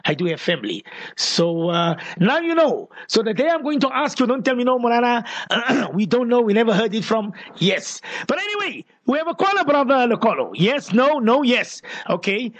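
A man's voice: very high voice, big pitch swings, moderate pace, fairly bright tone. A man from Portugal and Mozambique hosting a casual live radio call-in, speaking affectionately to listeners and often repeating his phrases. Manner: affectionate, repeats phrases, uses Islamic expressions. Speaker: radio show host.

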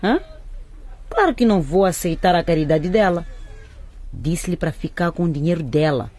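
A woman's voice: lowish voice, expressive, normal speed, a little mellow, husky. A woman playing a proud, stubborn, hard-working mother in a radio drama, brusque and indignant in her delivery. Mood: scolding, indignant. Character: proud, stubborn, struggling market-vendor mother, scolding and suspicious.

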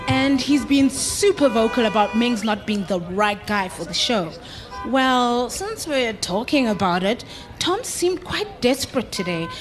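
A hesitant, chatty office colleague acted by a woman in a radio drama. The voice is high, and the delivery is informal and gossipy. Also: lively intonation, unhurried, music in the background. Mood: suspicious. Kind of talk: live radio drama acting.

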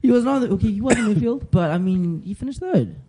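Zulu-speaking man with a dark, worn voice. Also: high voice, fast, breathy edge. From South Africa. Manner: laughs often, relaxed banter. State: strained voice from shouting. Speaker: podcast co-host. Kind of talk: casual chat. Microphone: studio mic.

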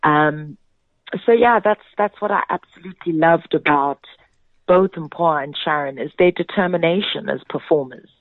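A woman's voice: sits low, moderate pace, no echo. A South African woman, a theatre director, speaking as a guest in a radio interview. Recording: narrow phone-like sound.

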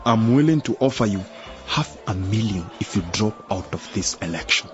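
An actor playing a scheming male politician who offers a bribe, smooth and coaxing in a radio drama. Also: fairly low pitch, lively intonation, moderate pace, soft background music, close to an everyday voice. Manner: smooth, coaxing, then threatening. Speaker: member of parliament. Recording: dull lo-fi radio drama recording.

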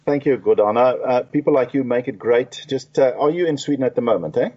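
A man hosting an interview talk show, relaxed and chatty with frequent fillers. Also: mid-range voice, a little fast. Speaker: radio talk show host. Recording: dull lo-fi sound.

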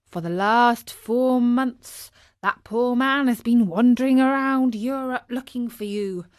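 A woman voicing a large, helpful male pigeon in a storybook, speaking in a measured, matter-of-fact, inquiring way. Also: on the high side, no room sound, clean studio sound. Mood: informative. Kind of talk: storybook character voice.

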